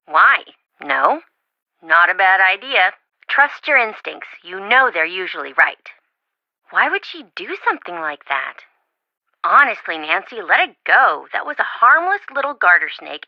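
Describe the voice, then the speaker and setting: Flighty girl best friend for a video game, rambly n over-eager, lively pitch, dry dull audio. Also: dry recording. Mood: gossipy, musing. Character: chatty, flighty, well-meaning young female best friend.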